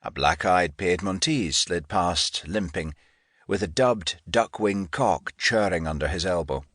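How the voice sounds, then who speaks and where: A man's voice: sits low, unhurried, fairly bright tone, dry recording, clean studio sound. A man reading historical fiction as a scripted literary audiobook narration.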